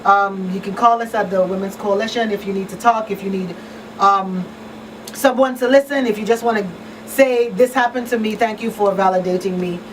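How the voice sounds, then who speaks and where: A woman's voice: medium pitch, moderate pace. Earnest woman hosting, slightly distant mic. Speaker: radio talk show host. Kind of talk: conversational advocacy talk. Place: small radio studio. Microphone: boom-arm studio microphone. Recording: distant mic, faint room noise.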